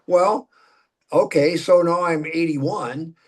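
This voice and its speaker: A man's voice: fairly high pitch, slow. A man in his seventies with a Minnesotan accent, chatting in a folksy, talkative way as a political candidate in a casual conversation. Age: 70s. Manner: folksy, chatty, laughs while talking.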